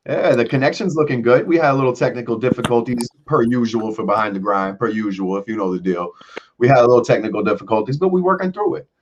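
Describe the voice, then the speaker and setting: A man hosting a casual live show, joking and slangy, shouting out the chat. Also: medium pitch, quick pace, muffled recording, a little hiss or hum. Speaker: host.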